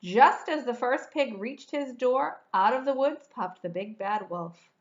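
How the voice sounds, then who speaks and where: A woman's voice: on the high side, expressive, measured pace, highs cut off. A woman in her forties reading a children's storybook aloud expressively, stretching words for dramatic emphasis. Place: indoor room at home.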